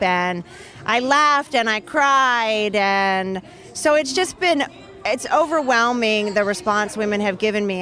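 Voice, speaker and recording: A woman's voice: a little high, fairly bright tone. A woman in her thirties, an author talking earnestly and fluently in an interview at an outdoor event. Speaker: author and guest. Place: outdoor charity race booth area.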